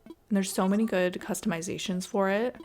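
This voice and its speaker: Woman, casual gameplay commentary, mid-range voice, chatty, expressive, faint music behind. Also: medium pitch, brisk. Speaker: gaming video creator. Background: background music.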